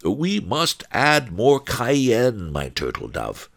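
Man voicing a calm, scheming tavern keeper, pitched up. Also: slow, exaggerated voice. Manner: calm, thoughtful, floridly affectionate.

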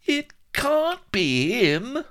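A man's voice: very high voice, wildly swooping pitch, extremely slow, a bit bright, pitched above the performer's own voice, full character voice. A man voicing a fretful, indignant little agent with a quavering, muttering manner in a comic storybook reading. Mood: doubtful, dismayed. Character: fretful little middle-class agent, flabbergasted, squeaky and whining.

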